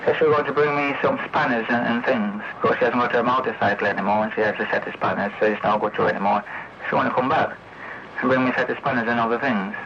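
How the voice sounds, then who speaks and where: A man's voice: medium pitch, steady tone, quick pace. A man delivering a rambling, confrontational, sweary monologue over CB radio, repeating accusations and throwing out challenging tag questions. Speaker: CB radio operator. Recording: noisy, muffled CB radio tape recording.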